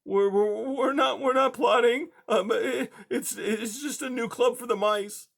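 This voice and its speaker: A timid little male storybook mouse, played by a woman, pitched well above normal speech. He stammers and whimpers haltingly, pleading in fright. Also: gravelly. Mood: nervous. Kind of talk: children's storybook character voice.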